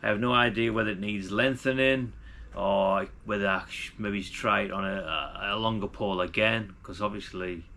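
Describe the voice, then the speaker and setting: A man's voice: lowish voice, fairly even intonation, normal speed, creaky, sharp tone. A man giving casual, unscripted hobbyist commentary in a chatty, rambling way, repeating himself as he goes. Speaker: radio hobbyist vlogger.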